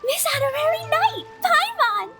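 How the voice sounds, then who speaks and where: A woman's voice: very high-pitched, big pitch swings, normal speed, over music, full character voice. A woman voicing a cheerful, innocent little girl in a video game, sweet and eager. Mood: bubbly. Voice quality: childlike, sweet. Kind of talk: video game cutscene acting. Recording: loud game sound behind.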